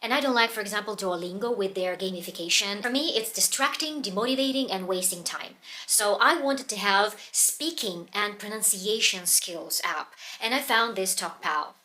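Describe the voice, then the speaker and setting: A woman with a Russian accent, a native Russian speaker, talking casually about how she trains her pronunciation, pausing often to find her words. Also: mid-range voice, bright, forceful tone. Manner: earnest, pauses to search for words. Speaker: language learning vlogger.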